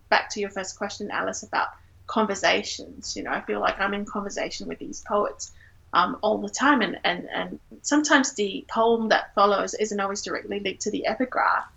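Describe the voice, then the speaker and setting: Thoughtful woman from Singapore, creaky voice. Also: mid-range voice, a bit bright, lo-fi sound. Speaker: poet and teacher, interview guest. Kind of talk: reflective literary interview talk.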